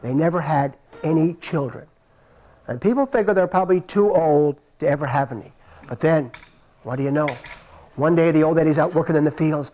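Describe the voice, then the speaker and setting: A man's voice: a little high, a little fast, warm tone. A man telling a folktale live on stage, playful and repeating his phrases for effect. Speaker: storyteller. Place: stage before a live audience.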